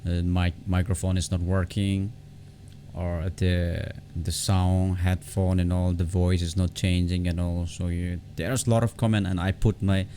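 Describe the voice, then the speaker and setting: Male tutorial vlogger, very low creaky voice, informal and halting, repeats himself, faint background noise. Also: flat delivery, dark tone. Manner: halting, repeats words and phrases. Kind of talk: informal step-by-step software tutorial. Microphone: separate desktop condenser microphone.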